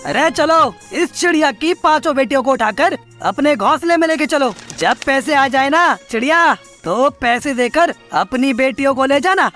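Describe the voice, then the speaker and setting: A woman's voice: high voice, lively intonation, normal speed, a bit bright, soft background music. A woman voicing a stern, bossy male crow moneylender in a children's cartoon story, with an emphatic, commanding manner. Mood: demanding, threatening.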